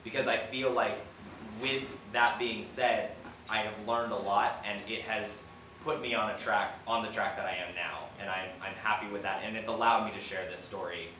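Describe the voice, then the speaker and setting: A young man with a fairly low voice talks through his work on a panel. He is informal and reflective, often halting mid-thought. The distant recording is very echoey, with clear background noise. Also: fairly even intonation, pushed and bright. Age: young adult. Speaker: playwright on a discussion panel. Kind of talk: audience Q&A panel talk. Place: echoey indoor theater. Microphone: distant camera mic. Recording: echoey room, distant mic, noisy background.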